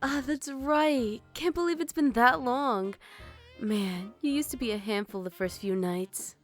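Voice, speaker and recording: A woman's voice: fairly high pitch, measured pace, faint music behind, close to an everyday voice. A woman playing a teasing former babysitter.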